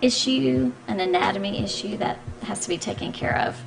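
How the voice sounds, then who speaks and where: A woman speech pathologist speaks on a radio talk show in a patient, measured, explanatory way, pausing with ums as she walks through each point. Her pitch sits in the middle of the range. Music plays clearly underneath in the studio. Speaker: speech-language pathologist guest.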